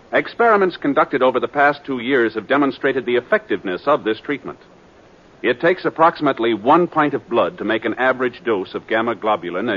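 An American man announcing on 1950s radio, reading a script with formal, earnest, measured enunciation. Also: mid-range voice, a bit bright. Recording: dull vintage radio broadcast recording.

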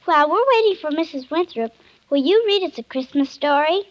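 A woman's voice: high voice, animated, brisk. An actress playing an earnest, wistful, well-behaved little girl in a 1950s radio drama. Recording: muffled old 1950s radio broadcast.

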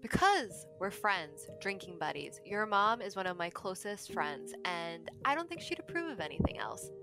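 A quick-witted, guarded alien crewmate played by a woman in a space-fantasy audio drama. Her intonation is very lively, and music plays softly behind her. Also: medium pitch. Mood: flustered, guarded.

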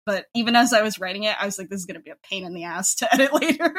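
A woman's voice: mid-range voice, animated, rapid-fire, a bit bright. A woman interview guest talking casually about creative work, often dropping "like" into sentences. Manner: informal, frequent "like" as a filler.

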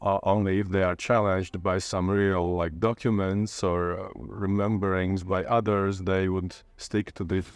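Deep, slow-spoken man with Latvian accent. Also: little pitch movement, no echo. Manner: halting, searching for words. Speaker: interviewee, literature teacher and playwright. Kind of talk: reflective interview conversation.

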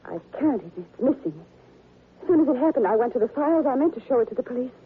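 Overwrought widow: high, very rough breathy voice, insistent, muffled vintage radio. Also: female voice, expressive, fast talker, exaggerated voice. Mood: accusing, tense. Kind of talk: 1940s radio melodrama acting. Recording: muffled narrow old radio recording.

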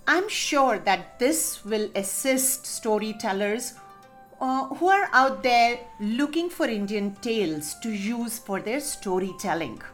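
A woman's voice: a little high, expressive, slow pace, soft background music. A woman producer hosting a podcast segment, introducing guests in a friendly way.

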